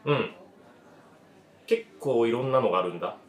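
Guy playing unsure cafe owner in his 30s, radio play, reflective, mid pitch, lots of room echo. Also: lively intonation, normal speed, lightly acted. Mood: relaxed, hopeful. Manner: hesitant, reflective, understated. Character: weary, self-doubting 35-year-old male cafe owner. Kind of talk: radio drama dialogue. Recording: roomy, echoing voice recording.

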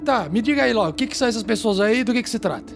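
A fussy germaphobe recluse in a tabletop game, with a high, creaky voice that leaps around. He scolds and gives bossy, drawn-out orders with theatrical exclamations, over faint music. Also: expressive. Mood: impatient. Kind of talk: improvised tabletop roleplay acting. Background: background music.

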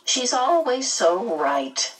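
A woman voicing a kindly, brisk otherworldly lady who soothes and fusses in a genteel storybook manner. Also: a little high, very animated, recorded from a distance, pitched above the performer's own voice, rough recording. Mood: wry.

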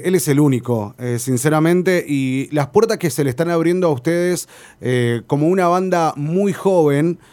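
A man hosting a radio interview, asking questions in a relaxed way with many hesitant fillers. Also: a little high. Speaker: radio host.

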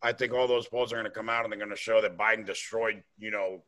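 A man hosting a political podcast, with a loud, brash way of talking. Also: fairly low pitch, fairly even intonation, fast. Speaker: podcast host. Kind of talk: casual political podcast talk.

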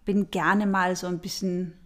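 A woman speaking German as a non-native with a foreign accent, giving an informal, unhurried explanatory talk with pauses and a playful manner. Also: fairly low pitch, level tone. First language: not German. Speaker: astrology host.

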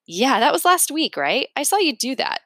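A woman's voice: high voice, very animated, normal speed, pushed and bright, no room sound, voice pushed up, exaggerated voice. A small, clever schoolboy from a children's novel, read by a woman. He is inquisitive and matter-of-fact, questioning things plainly. The sound around the voice is very dry.

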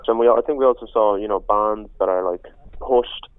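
A man's voice: lowish voice, moderate pace, dry recording. A young man from Spain, a band musician speaking reflectively in an interview, full of "you know" fillers. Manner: soft-spoken, many "you know" fillers. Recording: tinny phone-line sound.

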